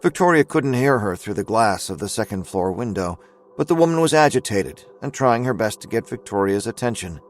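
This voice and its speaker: A man's voice: lowish voice, animated, a little fast, close to an everyday voice. A man narrating a scripted audio drama as its storyteller.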